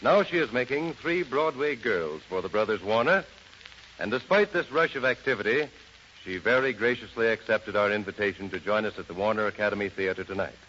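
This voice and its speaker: A man's voice: fairly low pitch, animated, fairly quick, a bit bright. An American man hosting a 1930s radio show in a formal, courtly announcing style. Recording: dull, noisy 1930s radio recording.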